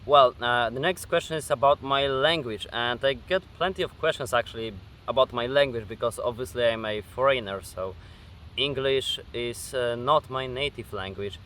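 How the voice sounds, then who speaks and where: A young man of eighteen with a Polish accent answers viewer questions outdoors, speaking into a camera facing him. His voice is bright and clear, and he talks hesitantly, filling gaps with uh and um and restarting his sentences. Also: medium pitch, moderate pace, a little hiss or hum. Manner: hesitant, many fillers and restarts. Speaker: gaming YouTuber. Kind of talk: casual Q&A vlog. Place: outdoors at dusk.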